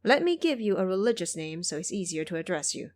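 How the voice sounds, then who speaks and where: A woman voicing a gentle, courteous male Buddhist monk, polite and formal, in an audio drama. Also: on the low side, sing-song, quick pace. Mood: pleased, kindly.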